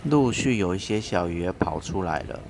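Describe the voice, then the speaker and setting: A man's voice: low voice, animated, moderate pace, creaky. A man from Taiwan hosting a fishing vlog, narrating in a chatty, upbeat way as he shows his gear and the spot. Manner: chatty, upbeat, explains as he goes. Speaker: fishing channel host. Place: outdoor seaside fishing spot. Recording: outdoor wind and noise.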